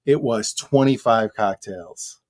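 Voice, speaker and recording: A woman hosting a casual livestream from a basement, talking loudly into one shared table mic and greeting viewers by name. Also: very deep voice, slow pace, a crowd in the background. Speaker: show host. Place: basement studio. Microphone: one shared table microphone.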